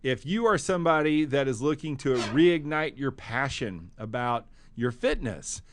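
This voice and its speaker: A man's voice: mid-range voice, animated, measured pace, slight background noise. A man hosting a motivational podcast, with an enthusiastic, punchy delivery. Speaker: podcast host.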